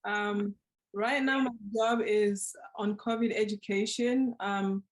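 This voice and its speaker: A woman's voice: mid-range voice, steady tone, takes it slowly, rough recording. A woman in her twenties telling her personal story on a panel, earnest and reflective, over a video call. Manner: earnest, reflective, with soft laughs. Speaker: panel speaker.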